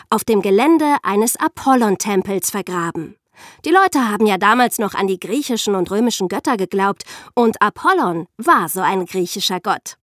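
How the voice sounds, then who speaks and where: A woman's voice: mid-range voice, a bit bright, no room sound, clean studio sound. A woman hosting a podcast, telling and explaining scripted stories in a chatty way for young listeners. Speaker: podcast host and narrator.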